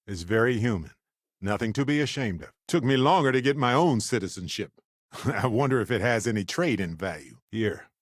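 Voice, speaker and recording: An actor playing an affable, witty, talkative space explorer in a video game, with an expressive, wry, folksy way of speaking. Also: male voice, mid-range voice, moderate pace, no room sound, studio quality, natural voice. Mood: earnest, gentle. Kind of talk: scripted video game character dialogue.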